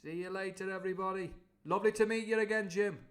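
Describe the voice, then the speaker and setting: A man's voice: high-pitched, fairly even intonation, quick pace, voice pushed up, lightly acted. A man voicing a cheery, fawning one-legged sea cook who talks in a chummy, ingratiating way.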